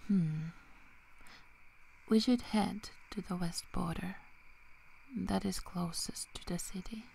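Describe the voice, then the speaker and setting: A woman playing a young, protective, teasing female werewolf in a soft, half-whispered ASMR roleplay, with long pauses. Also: on the low side, fairly even intonation, slight background noise, exaggerated voice, low-quality recording. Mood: guarded, softly teasing. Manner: slow, hushed, breathy delivery with long pauses.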